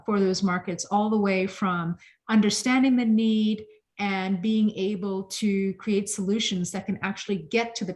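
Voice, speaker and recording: A woman acts as host at an academic seminar. She introduces a guest in a mid-pitched voice, pausing often and filling the gaps with ums as she goes. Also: darkish tone.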